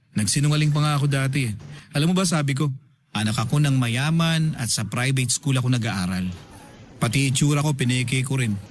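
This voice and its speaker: A man's voice: medium pitch, a little hiss or hum, lightly acted. A man playing a cheeky, nostalgic office buddy in a radio drama, chatting and joking with a Filipino accent. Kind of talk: conversational radio drama acting.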